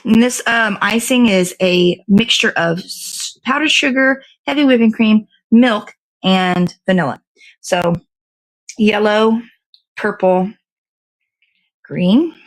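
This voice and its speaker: A woman's voice: mid-range voice, slow, poor audio. A woman hosting a casual hands-on cake decorating demo, talking to viewers and half to herself in short fragments as she works. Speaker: show host.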